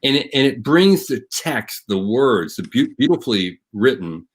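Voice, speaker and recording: A man speaking as a show guest, giving appreciative, conversational commentary in measured phrases. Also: medium pitch, big pitch swings.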